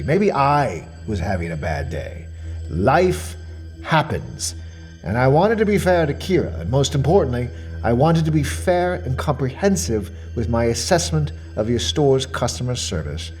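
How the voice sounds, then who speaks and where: A man acting a fussy, fixated mystery shopper in an audio drama monologue. His mid-pitched voice swings dramatically up and down as he dwells pompously on each precise word, with quiet menace underneath. Music plays behind him. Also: big pitch swings, normal speed, natural voice. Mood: self-justifying. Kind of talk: dramatic monologue as a spoken questionnaire report.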